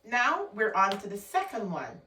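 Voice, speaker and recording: A woman's voice: mid-range voice, huge pitch swings, a little slow, sharp tone, reverberant, distant mic, slight background noise. A woman with a Guyanese accent giving an emphatic motivational talk, repeating her key words for stress. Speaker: motivational vlogger.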